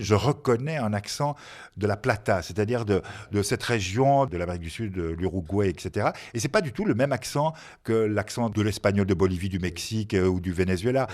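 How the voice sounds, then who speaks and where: A man hosting a radio interview, asking lively, effusive questions. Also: on the low side. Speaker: radio host.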